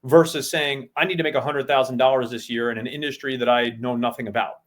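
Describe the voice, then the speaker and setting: A man talking on a casual business podcast. He lays out plans methodically, one step after another. His voice is pitched in the middle of the male range. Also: fairly even intonation, quick pace, fairly bright tone. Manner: methodical, breaks goals down step by step. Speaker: podcast co-host.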